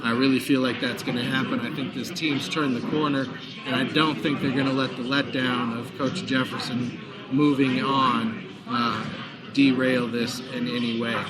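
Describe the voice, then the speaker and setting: Hoarse man hosting sports talk. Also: mid-range voice, flat delivery, moderate pace. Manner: chatty, promotional, frequent fillers. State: hoarse, recovering lost voice. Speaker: sports talk show host. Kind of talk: live sports talk broadcast. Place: back room of a busy sports bar. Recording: noisy bar crowd behind. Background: crowd chatter.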